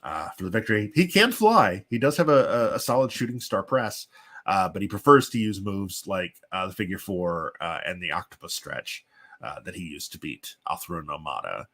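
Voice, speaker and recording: Low male voice, stuffed-up with a cold, casual wrestling commentator, halting chatty delivery. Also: expressive, a bit bright. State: congested, sniffly with a chest cold. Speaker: host and commentator of a simulated wrestling show. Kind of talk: casual live play-by-play commentary with chatty asides.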